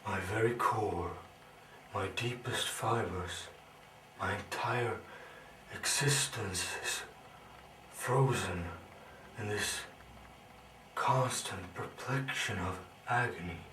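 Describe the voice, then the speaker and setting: An actor playing an anguished, brooding scientist villain in a theatrical monologue, grandiose and melancholy, with halting dramatic pauses. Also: male voice, fairly low pitch, pushed and bright. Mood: sorrowful, wistful. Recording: roomy, distant, slightly noisy recording.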